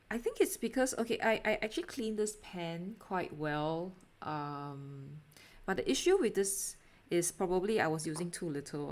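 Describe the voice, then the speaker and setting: A young adult woman with a Singaporean accent, chatting casually on a livestream as she draws, in a halting, self-deprecating, giggly manner. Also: low-pitched. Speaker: art livestreamer. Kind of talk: casual livestream chat while drawing.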